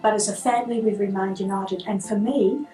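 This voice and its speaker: A woman speaking warmly and reflectively about her family in a casual interview. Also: medium pitch, steady tone, brisk, soft background music. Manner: warm, reflective. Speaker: sister-in-law in the family. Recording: echoey room heard from far off.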